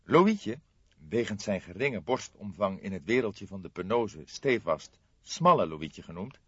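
A man reading a crime novel aloud as an audiobook narrator, in expressive scripted narration. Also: lowish voice, breathy edge, no echo. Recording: dull lo-fi dry studio sound.

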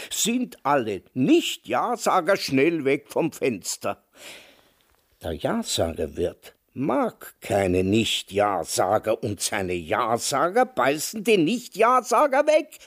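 Husky, animated, cantankerous old man. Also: mid-range voice, full character voice. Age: older. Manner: sardonic, declamatory, emphatic. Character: cantankerous, opinionated old man, scornful aspiring author. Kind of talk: radio drama dialogue.

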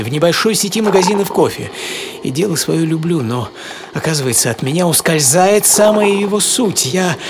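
A man acts a dreamy, passionate businessman telling his own story in an audio drama. His voice has a gravelly creak and lingers theatrically on words. Music plays behind him throughout. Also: mid-range voice, natural voice. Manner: theatrical, savouring, emphatic delivery. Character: dreamy, passionate American coffee businessman reminiscing. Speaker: author and narrator of a radio programme. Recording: studio voice over music.

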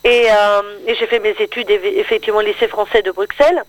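A woman's voice: mid-range voice, level tone, quick pace, dry recording, poor audio. A woman from Paris and Brussels, a singer chatting enthusiastically as a guest in a casual radio interview. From born in Paris, grew up in Brussels, Belgium.

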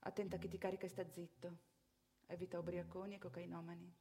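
A woman's voice: on the low side, level tone, fast, dark tone, close to an everyday voice. Woman acting blunt streetwise prostitute, guitar behind. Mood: calm, cautionary. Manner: instructing, emphatic, blunt, second-person advice. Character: seasoned female street prostitute briskly coaching a newcomer. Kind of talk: theatrical monologue for radio.

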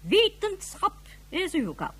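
A woman's voice: very high-pitched, wildly swooping pitch, slow, a bit bright, full character voice. An actress playing an indignant, emphatic woman of authority in a science fiction radio play.

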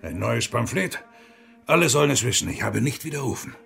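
Radio drama monk reformer: earthy and scornful, heavily gravelly voice with wide swoops of pitch. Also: male voice, sing-song, fairly quick, background noise. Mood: defiant. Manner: hearty, coarse, mocking, chuckling. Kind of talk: German radio play acting.

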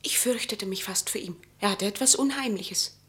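An actress in a German radio drama playing an anxious young mistress, earnest and intense. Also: female voice, mid-range voice, moderate pace, fairly bright tone, natural voice. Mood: troubled, intense.

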